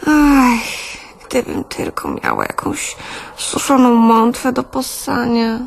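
A woman's voice: a little high, wildly swooping pitch, slow, creaky, full character voice. An actress playing a plaintive, prim pregnant wife who sighs as she talks in a radio play. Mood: plaintive, confessing. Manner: sighing, plaintive. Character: pregnant wife, plaintive, primly self-pitying, hungry.

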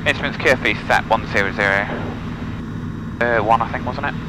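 A man's voice: mid-range voice, a little fast. A man piloting a small plane, switching between clipped radio calls and casual, matter-of-fact chat. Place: small aircraft cockpit in flight. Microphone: cockpit audio recorder on intercom. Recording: loud aircraft engine and cockpit noise.

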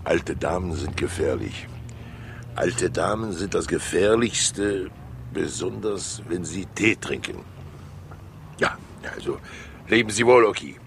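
An actor playing a fat, wily, talkative older state councillor in a 1960s radio crime drama, jovial and theatrical, rambling with asides and pauses. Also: male voice, sits low, lively intonation, creaky, full character voice. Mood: genial, wry. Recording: hissy 1960s radio recording.

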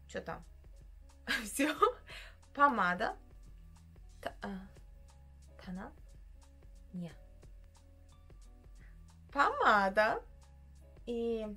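Young woman, Turkish-tinged accent, gravelly edge, casual makeup chat, searching for words, soft music under the voice. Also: mid-range voice. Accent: Turkish-influenced Russian. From Uzbekistan. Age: young adult. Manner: chatty, searching for words, giggly. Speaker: beauty vlogger. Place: small bedroom indoors.

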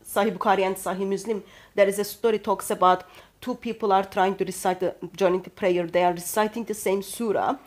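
A woman's voice: mid-range voice, fairly even intonation, fairly quick. Young woman with a Turkish accent. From Turkey. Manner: careful with hesitations, non-native phrasing. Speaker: co-host. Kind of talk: conversational discussion. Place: indoor study with bookshelves.

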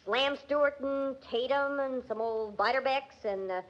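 A woman's voice: sits high, unhurried. An actress playing a brash young jazz-mad American shop girl, sassy and slangy, in a 1940s radio drama. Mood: brash, enthusiastic. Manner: slangy, sassy, jive-talking. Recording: dull, band-limited old radio recording.

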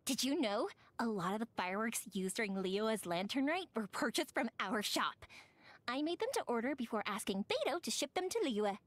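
Young female fantasy game character, bubbly, talkative, very animated intonation, dry sound. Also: a little high, dry recording. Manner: bubbly, expressive, playful, talkative. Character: cheerful, chatty young woman, fireworks maker in fantasy game.

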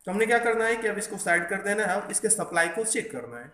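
Male repair instructor, bright forceful tone, explanatory tutorial delivery, echoey workbench recording. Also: sits high, expressive, quick pace. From India. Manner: explanatory, mixes in English technical terms. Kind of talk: hands-on tutorial narration. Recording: echoey room, faint background noise.

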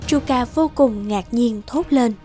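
A woman with a fairly high voice narrates a children's fairy tale. Her delivery is scripted and measured, in storyteller style, with music clearly playing behind her. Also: mellow.

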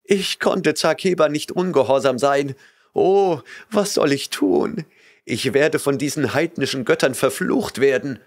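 A trembling, terrified young woman pleads and whimpers in a breathless rush, as a male narrator puts her on. Her voice is pitched well above his own, thin with fear. It comes from a storybook reading. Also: a little slow. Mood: pleading.

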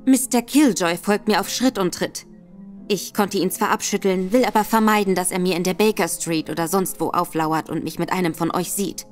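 Actress playing a cunning female detective, brisk, self-assured, radio drama, faint music. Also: on the low side, natural voice. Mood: confident.

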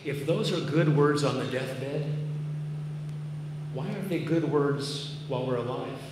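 A man's voice: mid-range voice, unhurried. A man in his fifties with a Massachusetts accent, a pastor preaching earnestly and conversationally with dry self-deprecating humor, speaking from the stage of a large church auditorium. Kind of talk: sermon. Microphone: podium mic, filmed by a far camera. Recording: echoey hall from far off with noise.

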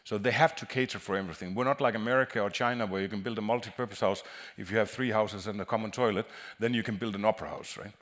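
A man's voice: on the low side, fairly even intonation, a bit bright. Man presenting at a conference. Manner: halting, repeats words as he explains. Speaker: conference speaker, acoustic designer. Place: large conference hall on a stage.

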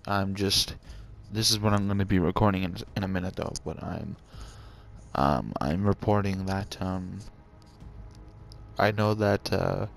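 A young man with a deep voice talking quietly and hesitantly to his viewers as a gaming channel host, with many ums and uhs. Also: vocal fry, a little hiss or hum. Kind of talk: casual talk to viewers.

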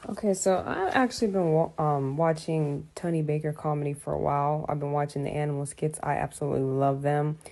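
Young woman, low pitch, relaxed tone, casual comedic reaction, phone selfie video. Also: fairly quick, few pauses. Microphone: phone at arm's length.

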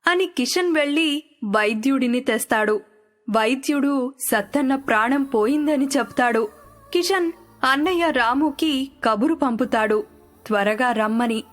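A woman's voice: high-pitched, unhurried. A woman narrating a scripted moral story as a storyteller, with music underneath.

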